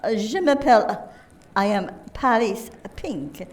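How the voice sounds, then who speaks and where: A woman's voice: a little high, expressive, unhurried. A woman playing a spoiled, haughty rich daddy's girl in an amateur radio play, theatrical and whiny. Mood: dismayed.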